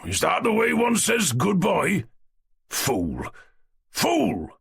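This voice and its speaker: A man voicing an emotional, boisterous old male Cossack hunter in an audiobook, loud and sentimental with a raspy voice. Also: high-pitched, huge pitch swings, slow pace, dry recording, studio quality, pitched above the performer's own voice, heavily acted. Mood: reproachful. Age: older. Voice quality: gravelly, raspy. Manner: loud, lurching, sentimental outbursts. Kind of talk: audiobook character voice.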